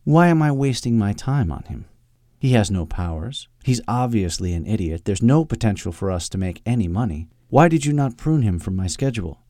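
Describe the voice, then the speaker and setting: Man acting a pompous, impatient, animated boss. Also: fairly low pitch, moderate pace, no echo, clean studio sound, lightly acted. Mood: irritated. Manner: curt, pompous, exasperated. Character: impatient, disdainful, coldly logical businessman boss.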